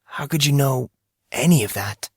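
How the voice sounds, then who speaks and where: Man playing teenage boy, audio drama, haunted, self-doubting, halting, emotional, raised pitch. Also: animated, darkish tone, breathy edge, no room sound, clean studio sound. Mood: anguished.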